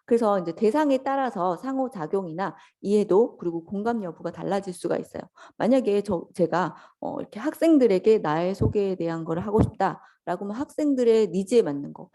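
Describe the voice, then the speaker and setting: A woman lecturer with a voice hoarse from a cold, politely explaining things step by step to students in a conversational lecture style. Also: mid-range voice, lively intonation, a little fast, hardly any pauses, mellow. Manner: polite and instructional, addressing students directly. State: hoarse from a lingering sore-throat cold. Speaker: lecturer teaching an office software course.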